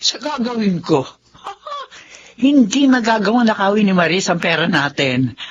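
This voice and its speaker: A woman's voice: medium pitch, big pitch swings, measured pace, highs cut off, slight background noise. A woman with a Filipino accent playing a cruel, greedy aunt in a melodramatic radio drama, scolding and sneering. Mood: gloating. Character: cruel, greedy aunt and mother, domineering, mocking villain.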